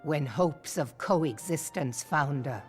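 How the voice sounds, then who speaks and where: An actor playing an ominous, ruthless orator in a fantasy game, with a portentous, rhetorical delivery. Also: male voice, fairly high pitch, lively intonation, slow, soft background music. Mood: grim, resolute. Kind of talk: scripted fantasy game monologue.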